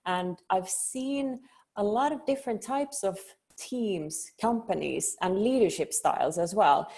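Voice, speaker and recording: A middle-aged woman from Finland, giving an earnest conference presentation and pausing on frequent ums. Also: mid-range voice, darkish tone. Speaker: company founder and conference speaker.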